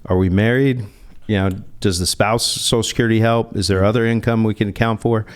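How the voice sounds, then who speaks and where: A man's voice: fairly low pitch, fairly even intonation, a little fast, clean tone. A man speaking as a financial advisor in a conversational interview, explaining step by step and posing rhetorical questions.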